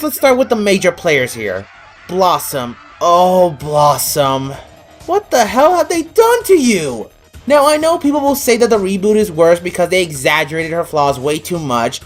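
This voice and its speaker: A woman delivering a scripted video review in a blunt, exasperated, opinionated manner. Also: a little high. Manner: blunt, exasperated, freely swearing. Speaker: online anime reviewer. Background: music.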